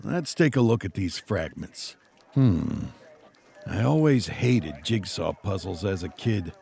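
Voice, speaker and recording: Gravelly, sardonic veteran cop. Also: male voice, sits low, slow, exaggerated voice. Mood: focused. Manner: dry, sardonic, deadpan. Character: world-weary, sardonic veteran male police detective. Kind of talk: noir video game drama acting.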